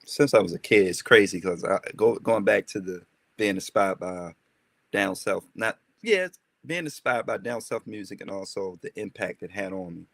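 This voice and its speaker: A young man with a low, gravelly voice recounts his story in a loose, rambling way, full of fillers. The sound is dry and close, with no room around it. He is a rapper from Maryland chatting as a guest. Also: normal speed, fairly bright tone, dry recording. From Maryland, USA. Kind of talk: casual interview chat.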